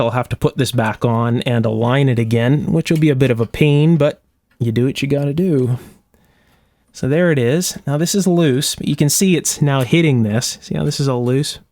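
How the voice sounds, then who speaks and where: A man's voice: medium pitch, darkish tone, no room sound, clean studio sound. A man hosting a hands-on restoration demonstration in a workshop, thinking aloud in a casual, methodical, step-by-step way. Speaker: host of an electronics restoration channel. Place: indoor electronics workshop.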